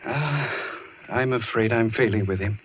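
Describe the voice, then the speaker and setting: An actor playing a weary, earnest asylum doctor in a radio drama, speaking with measured authority. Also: male voice, fairly low pitch, close to an everyday voice. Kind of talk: vintage radio drama acting. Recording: dull, noisy old radio recording.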